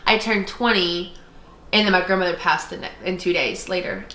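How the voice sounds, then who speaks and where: Bright-voiced woman chatting in an echoey room. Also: on the low side, expressive, normal speed, a little hiss or hum. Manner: informal, often voices quoted remarks. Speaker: podcast co-host, former art student. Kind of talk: casual chat, animated personal storytelling. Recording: roomy, echoey sound.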